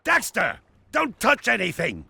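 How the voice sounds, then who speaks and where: Very gravelly old sage. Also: male voice, high voice, huge pitch swings, sharp tone, exaggerated voice. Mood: alarmed. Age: older. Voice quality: gravelly, creaky. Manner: solemn, measured, pompous. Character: elderly male sage, solemn, stern, wise mentor. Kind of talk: video game character acting.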